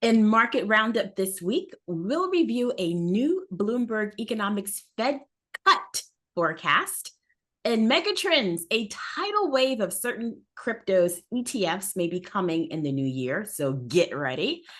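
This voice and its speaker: A woman's voice: mid-range voice, measured pace. A woman hosting a market news show, reading a scripted roundup. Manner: reads script with lively intonation. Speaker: show host.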